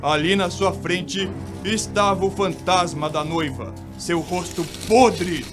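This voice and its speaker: A man's voice: sits high, takes it slowly. A man with a Brazilian accent giving dramatic scripted narration of a ghost story. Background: music.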